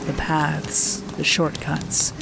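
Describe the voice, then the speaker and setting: A woman voicing a calm weary warrior nun. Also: sits low, creaky, noisy background, full character voice. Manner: calm, measured, commanding. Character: weary veteran warrior nun returning home. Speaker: narrator and squad leader. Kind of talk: first-person audio drama narration. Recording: music bed under the voice.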